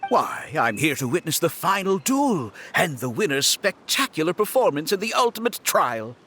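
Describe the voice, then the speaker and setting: A man's voice: fairly high pitch, big pitch swings, normal speed, noisy background. A man voicing a suave, scheming game villain with a grandiose, polished and condescending manner. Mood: grand admiration. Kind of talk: video game voice acting.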